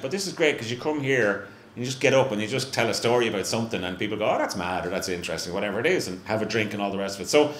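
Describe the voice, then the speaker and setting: A middle-aged Irish man chatting on stage at a live event, self-mocking and full of restarts. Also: mid-range voice. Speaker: brewery representative, guest. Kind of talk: casual onstage chat. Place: small live venue room with stage. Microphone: handheld mic on stand. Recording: echoey room, faint hum.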